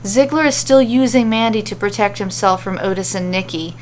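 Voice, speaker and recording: A woman's voice: mid-range voice, a little fast, darkish tone, slight background noise. A woman reading a scripted fantasy adventure story as its narrator, telling it dramatically.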